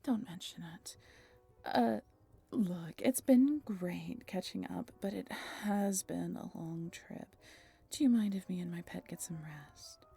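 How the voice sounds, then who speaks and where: A woman playing a wry, guarded vampire in a roleplay audio drama, sardonic and smooth-talking in a low-key way. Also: takes it slowly, warm tone, soft background music, exaggerated voice. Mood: dry, placating. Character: wry, guarded female vampire, flattering her rival, secretly protective.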